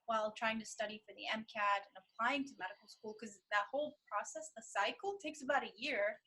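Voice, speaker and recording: A young woman hosting an interview, chatty and animated, sharing her own student experiences. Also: fairly high pitch, fairly quick, rough recording. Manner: chatty, lots of fillers, animated. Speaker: interview host, medical student. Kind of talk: casual interview conversation.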